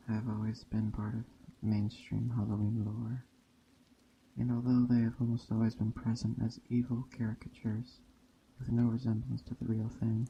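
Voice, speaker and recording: A man speaking softly and unhurriedly with long pauses, in an ASMR roleplay as a gentle, hospitable witch. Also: medium pitch, vocal fry, background noise. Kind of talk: soft-spoken ASMR roleplay narration. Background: rain and forest ambience.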